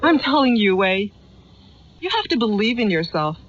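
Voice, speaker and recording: A woman's voice: mid-range voice, sing-song, normal speed, lightly acted. A woman playing a combative, insistent older mother of a grown son in a dubbed TV drama. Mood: nagging. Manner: scolding, insistent, emphatic. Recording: dull lo-fi radio capture, faint music.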